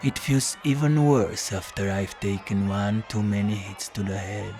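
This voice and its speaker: Man playing a slow, weary hard-drinking detective. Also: lowish voice, faint music behind. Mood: weary, wry. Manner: deadpan, slow, world-weary. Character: weary, battered, hard-drinking male detective, wry and evasive. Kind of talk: first-person noir audio drama narration.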